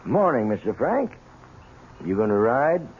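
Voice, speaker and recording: An actor playing a wry, plain-spoken stable hand in a 1970s radio drama. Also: male voice, medium pitch, very animated, unhurried, breathy edge, heavily acted. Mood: wry, challenging. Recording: tinny, noisy old radio recording.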